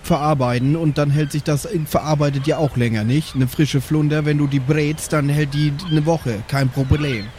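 Bransen, a boastful jokey brother, played by a man, slightly high voice, faint background hiss. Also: fairly even intonation, moderate pace, hardly any pauses, a little mellow, natural voice. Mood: hearty. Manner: jokey, chatty. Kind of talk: improvised tabletop role-play.

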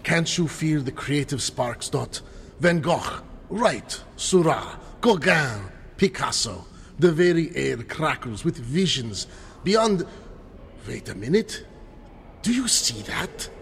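A man's voice: a little high, sing-song, slow pace, vocal fry, big-room sound, a little hiss or hum, exaggerated voice. A man playing an eager, theatrical, erudite scientist-adventurer in an old-time radio style adventure drama. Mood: enthused, genial, then astonished. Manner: dramatic, erudite, grandly enthusiastic.

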